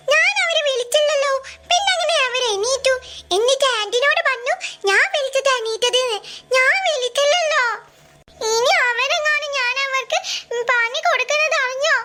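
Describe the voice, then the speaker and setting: A woman's voice: very high voice, bright, forceful tone. A woman voicing a puzzled, suspicious young girl who questions herself aloud in a cartoon monologue.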